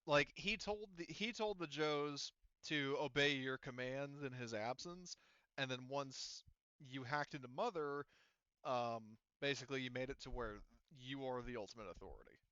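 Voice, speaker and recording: Man, game master, crisp bright voice, casual explanatory delivery, halting phrasing, dull lo-fi audio. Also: medium pitch, no echo. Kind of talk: casual tabletop game narration and rulings.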